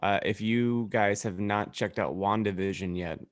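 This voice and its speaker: A man's voice: fairly low pitch, unhurried, no echo. A man co-hosting a casual comedy podcast, chatty and joking as he keeps the conversation moving along. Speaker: podcast co-host.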